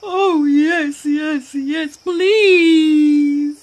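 A woman's voice: on the high side, big pitch swings, slow pace, breathy edge, full character voice. Woman as a tearful, moaning big hippo. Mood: pleading.

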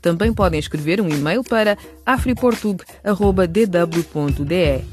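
A woman's voice: on the low side, normal speed, darkish tone. A woman announcer reading scripted narration for a radio series. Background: music.

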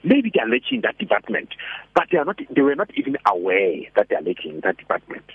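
A man speaks in a husky, gravelly voice, full of animated persuasion and rhetorical questions. His pitch leaps up and down as he pushes his point. The sound is thin and dry, like a phone call. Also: quick pace, dry recording. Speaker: radio guest speaker. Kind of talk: motivational phone-in talk. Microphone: telephone. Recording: tinny dry phone line.

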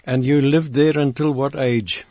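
A man hosting an interview programme, speaking haltingly with frequent pauses. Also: medium pitch, fairly even intonation, a little mellow. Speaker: radio interview host. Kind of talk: missionary interview programme with anecdotes. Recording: muffled, roomy radio recording.

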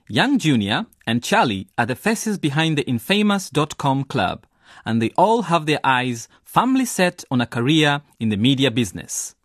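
A man's voice: fairly high pitch, no echo, studio quality. A man presenting a radio drama series with scripted narration.

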